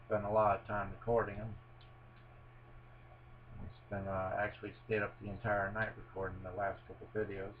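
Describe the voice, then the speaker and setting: A man's voice: lowish voice, narrow, tinny audio, slight background noise. A middle-aged man rambling casually to camera as he builds a toy set at home, poking fun at his own mistakes. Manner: rambling, self-deprecating, many fillers and restarts. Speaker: hobbyist building a Lego set on video. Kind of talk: casual running commentary while building. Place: at home, on a table, with a cat nearby.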